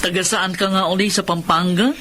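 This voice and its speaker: A woman's voice: on the low side, fairly even intonation, fairly quick, fairly bright tone, slight background noise, close to an everyday voice. A woman playing a kindly, authoritative married lady lawyer in an audio drama, reassuring and inquisitive, motherly but firm. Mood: friendly, businesslike. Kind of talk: Tagalog radio-style drama acting.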